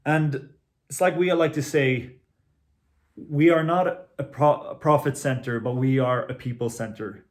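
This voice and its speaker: A young man in his twenties from Finland talks through a prepared introduction of himself. His voice sits at an ordinary middle pitch, and he speaks in an earnest, measured way, correcting a word now and then. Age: 20s. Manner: earnest, measured, with small restarts. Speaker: credit union marketing executive. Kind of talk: prepared self-introduction talk.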